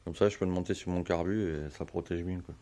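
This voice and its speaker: A hoarse man giving a relaxed, slangy how-to explanation full of fillers, in a home garage. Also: low-pitched, fast, a little hiss or hum. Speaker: motorbike hobbyist.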